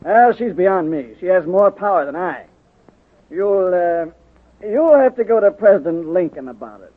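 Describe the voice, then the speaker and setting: An actor playing an army general who is resigned and hesitant, in old radio drama style. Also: male voice, high-pitched, animated, moderate pace. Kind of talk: 1930s-40s radio drama acting. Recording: tinny, narrow-band old radio recording.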